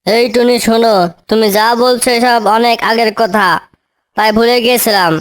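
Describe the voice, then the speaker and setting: A woman's voice: on the high side, fairly even intonation, normal speed, clean tone, natural voice. A woman voicing a bossy eagle uncle, a cartoon villain with a commanding manner. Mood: welcoming. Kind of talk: children's cartoon story dialogue.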